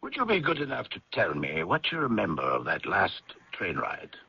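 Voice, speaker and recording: Detective inspector, extremely husky, formal, cool, probing, radio mystery, dull lo-fi recording. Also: male voice, low voice, huge pitch swings, normal speed, pushed and bright, exaggerated voice. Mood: stern, suspicious. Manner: formal, clipped, official. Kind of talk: radio drama acting.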